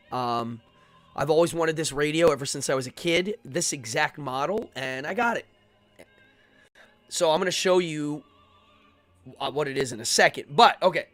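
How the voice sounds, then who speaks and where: A middle-aged man with an American accent and a slightly high voice hosts a casual livestream. He rambles and cuts himself off as he chats, with music in the background. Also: fairly bright tone. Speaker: livestream show host. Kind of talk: casual live stream chat.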